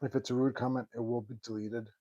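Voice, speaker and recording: A man's voice: flat delivery, fast talker, warm tone, no echo, lo-fi sound. A man working the camera and moderating chat, chiming in briefly from off-camera. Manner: brief, low-key interjections. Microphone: off-camera, away from the mic.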